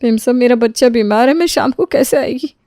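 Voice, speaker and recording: A woman's voice: a little high, fairly quick, mellow, no room sound, clean studio sound, natural voice. A woman playing a put-upon, dutiful housemaid who pleads and stands up for herself in a dramatised moral story. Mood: deferential, pleading.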